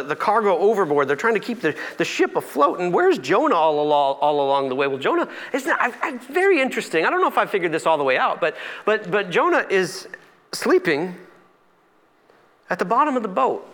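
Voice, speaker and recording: Animated male pastor, bright high-pitched voice. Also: quick pace. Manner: animated, acts out dialogue, repeats phrases for emphasis. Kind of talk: conversational storytelling sermon.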